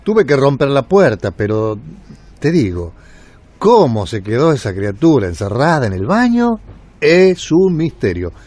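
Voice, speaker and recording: An actor playing a kindly, gossipy building caretaker with an Argentine accent, telling stories colloquially in a radio drama. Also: male voice, medium pitch, unhurried. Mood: chatty, puzzled. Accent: Argentine Rioplatense. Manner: colloquial, animated storytelling.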